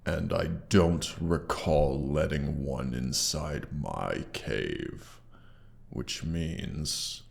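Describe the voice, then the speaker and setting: Haughty hoard-guarding dragon, fantasy audio drama: rock-bottom pitch, creaky growl, condescending menace, monster effect. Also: male voice, heavily acted. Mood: suspicious. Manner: slow, menacing, condescending, theatrical pauses. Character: injured, haughty male dragon guarding his treasure hoard. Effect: monster voice processing. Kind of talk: scripted roleplay audio drama.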